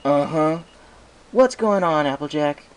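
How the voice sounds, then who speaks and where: A man's voice: a little high, expressive, unhurried, clean tone, voice pushed up. A man voicing a cocky young male dragon assistant in a storybook reading, with a breezy, sarcastic manner. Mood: suspicious, offhand.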